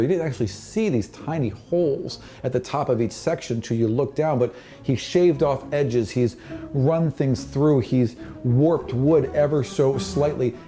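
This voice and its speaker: Male game master, mid-pitched and mellow, narrating fantasy scenes descriptively with offhand asides.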